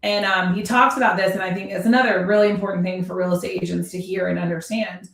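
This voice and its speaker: A woman's voice: on the low side, steady tone, quick pace, gravelly, fairly bright tone, far from the mic. Emphatic woman in a roomy echo. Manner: emphatic, repeats phrases, explains with examples. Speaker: podcast host and real estate productivity coach. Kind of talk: conversational podcast discussion, explaining at length. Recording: roomy echo, away from the mic.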